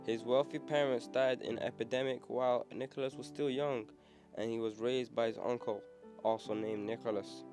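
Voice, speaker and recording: A man reading documentary narration in a flat, even way. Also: medium pitch, moderate pace, music in the background.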